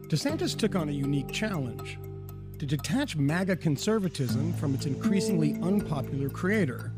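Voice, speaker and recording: A man reading a wry, measured news narration. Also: on the high side.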